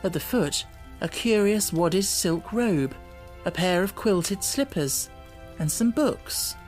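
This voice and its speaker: A woman reading an audiobook as narrator, with deliberate phrasing and expressive, dramatic emphasis. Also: fairly low pitch. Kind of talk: dramatic scripted storybook narration. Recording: music bed under the voice. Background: music.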